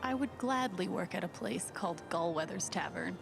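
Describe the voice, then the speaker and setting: A woman's voice: on the low side, expressive, normal speed, gravelly, soft background music. A woman playing an easygoing, friendly young woman in a naturalistic story game performance. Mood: casual, curious.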